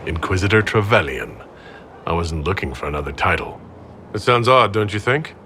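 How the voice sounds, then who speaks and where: An actor playing a composed, questioning heroic leader in a video game, wry and thoughtful. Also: male voice, low voice, very animated, moderate pace, bright, forceful tone, a little hiss or hum, full character voice. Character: composed, questioning young male hero leader. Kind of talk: dramatic video game acting.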